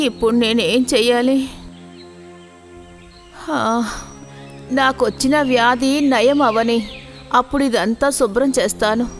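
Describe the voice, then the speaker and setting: A woman voicing a cranky but soft-hearted old granny in a children's cartoon. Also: sits high. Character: cranky old granny, scolding then remorseful.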